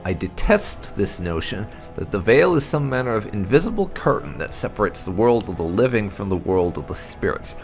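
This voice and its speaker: A man narrating fantasy game lore aloud, with deliberate, dramatic emphasis as a video host. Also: fairly low pitch, animated, vocal fry, faint music behind.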